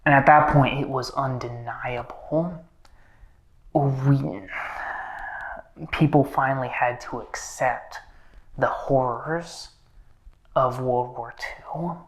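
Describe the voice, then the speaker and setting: A man performs a menacing horror villain with a philosophical bent. He speaks extremely slowly in a middle-pitched voice, brooding and halting between phrases. His delivery drifts between plain speech and breathy whispering, as in a film monologue. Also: steady tone, close to an everyday voice, low-quality recording. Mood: grave, brooding.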